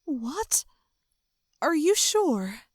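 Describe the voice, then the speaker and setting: A woman as a young fantasy princess with a fairly high voice and a close, dry sound. She is earnest, caring and steadfast, in audio drama style. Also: very animated, very slow, no room sound, heavily acted. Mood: alarmed. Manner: earnest, reassuring.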